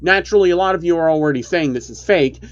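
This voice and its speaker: A man's voice: fairly high pitch, quick pace, highs cut off, lightly acted. A man voicing a chatty male YouTuber who explains creepy cartoon myths emphatically, in online video style. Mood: animated explaining.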